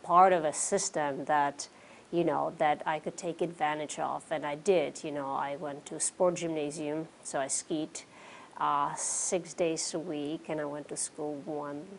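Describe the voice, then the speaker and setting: Czech-accented woman, forties, low gravelly voice, faint hum behind, relaxed chatty answers. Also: measured pace, rough recording. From Czech Republic. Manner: relaxed, many fillers like "you know" and "um". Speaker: interview guest, pro cyclist. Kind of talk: casual interview answers. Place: small studio with curtains, indoors.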